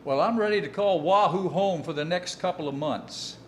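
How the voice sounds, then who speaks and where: An actor playing an eager, confident naval officer, respectful and folksy, in a wartime drama. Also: male voice, high-pitched, moderate pace, lightly acted. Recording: faint background noise.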